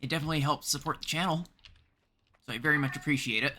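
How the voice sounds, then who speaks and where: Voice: mid-range voice, rapid-fire, bright, forceful tone, a little hiss or hum. A streamer from Canada giving casual live video game commentary, chatting and thinking aloud in short remarks.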